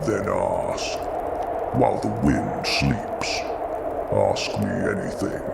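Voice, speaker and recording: A haunted giant creature for an audio drama, super low and slow, gentle but weary, noisy background. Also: male voice, big pitch swings, creaky, full character voice. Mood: guarded, weary. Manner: slow, weighted, halting delivery.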